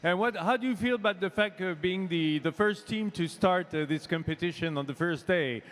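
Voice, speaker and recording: A man's voice: high voice, fairly quick, fairly bright tone. A man co-hosting a live cooking competition, asking questions with many hesitant fillers. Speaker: co-host. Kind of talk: live event interviews. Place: large competition hall. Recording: long echo of a big hall.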